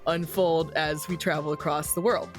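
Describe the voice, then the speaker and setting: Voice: mid-range voice, fairly even intonation, moderate pace, faint music behind. A podcast co-host leading the talk in a wry, mock-serious, casual way.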